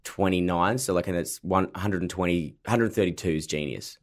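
Australian male comic, lowish voice, rambling and sweary, casual chat. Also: moderate pace. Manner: swears freely, rambling animated anecdotes. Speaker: comedian guest.

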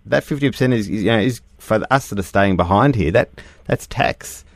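A middle-aged man hosts a radio show in a very low voice. The sound is dry and close. He chats easily and talks through explanations patiently, leaning on fillers. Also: brisk, creaky, no room sound. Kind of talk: casual radio chat.

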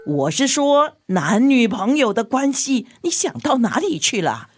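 A woman's voice: fairly high pitch, sing-song, unhurried, over music. An actress playing a meddling, matchmaking older mother in a radio drama, fussy, insistent and prying, with a Singaporean accent.

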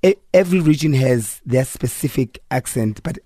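A man's voice: mid-range voice, expressive, moderate pace, mellow, no echo, studio quality. A man co-hosting a radio show, asking questions hesitantly and repeating his words. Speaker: radio co-host. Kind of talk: casual studio chat. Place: radio studio.

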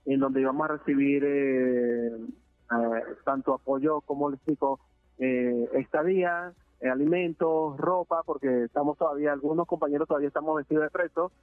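A man from Venezuela, giving a halting, earnest testimony in a phone interview, full of filler sounds and restarts. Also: on the high side, fairly even intonation, no echo, soft background music. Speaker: interviewee, deported migrant. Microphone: phone line. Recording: narrowband phone line, dry.

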